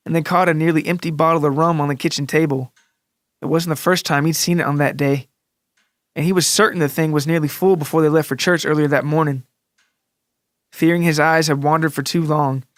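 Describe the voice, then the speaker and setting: A man narrating a scripted literary story for a podcast in a deliberate, dramatic, descriptive way. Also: on the high side, a bit bright, no room sound, clean studio sound. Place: close, dry recording with music underneath.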